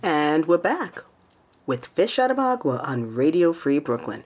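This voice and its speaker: A woman hosting a radio show in a bubbly, animated way. Her pitch swings widely as she talks, climbing high when she cheers. She speaks into a studio microphone. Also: measured pace, faint music behind.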